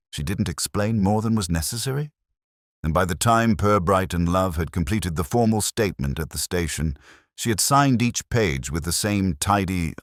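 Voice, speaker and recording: A man's voice: low-pitched, dry recording, clean studio sound. A man narrating a scripted mystery story as its narrator.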